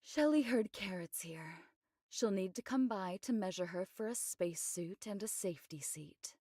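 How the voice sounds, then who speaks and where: A woman voicing a calm, reserved, thoughtful ally in an anime game. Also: mid-range voice, normal speed, no room sound, studio quality, lightly acted. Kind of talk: English anime game voice acting.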